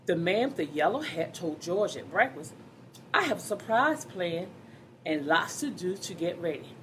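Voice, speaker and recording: Lively, low-pitched woman reading to children. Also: a little hiss or hum, low-quality recording. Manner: expressive, addresses children directly, emphasizes words for effect. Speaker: children's story reader. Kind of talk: read-aloud storytime for young children.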